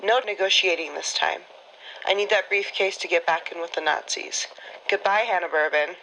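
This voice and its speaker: A woman's voice: on the low side, fairly even intonation, normal speed, bright, forceful tone, a little hiss or hum, low-quality recording. A woman playing a menacing, taunting female wartime double-crosser in a radio drama. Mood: cold, menacing.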